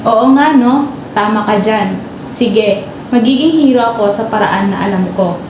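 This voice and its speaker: A woman's voice: medium pitch, measured pace, close to an everyday voice. Woman acting as eager agreeable student, radio play, super echoey, noisy. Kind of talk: amateur radio drama acting. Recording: very echoey room, clear background noise.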